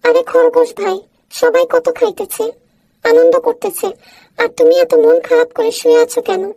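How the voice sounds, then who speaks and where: A woman's voice: very high voice, fairly even intonation, moderate pace, creaky, mellow, soft background music, full character voice, rough recording. A woman voicing a kindly animal friend in a children's cartoon, encouraging and coaxing. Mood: concerned.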